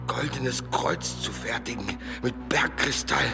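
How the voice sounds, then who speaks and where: An actor playing a frightened, sly fat monk who pleads and stammers in an audio drama. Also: male voice, medium pitch, a little slow, creaky, long reverb tail. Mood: nervous, eager. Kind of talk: German audio drama acting. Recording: music behind the voice.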